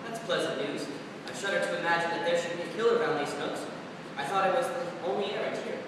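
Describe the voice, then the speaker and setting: A man's voice: quick pace, close to an everyday voice. A man acting a polite, curious Sandman in a staged verse play, questioning in formal, courteous language. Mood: quizzical. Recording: echoey hall, distant mic, rumbling noise.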